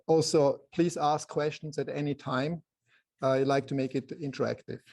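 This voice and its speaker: Male photography presenter, Swiss accent, soft but slightly high voice with a gravelly creak, halting lecture delivery. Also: steady tone. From Switzerland. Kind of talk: informal slide lecture to a live group.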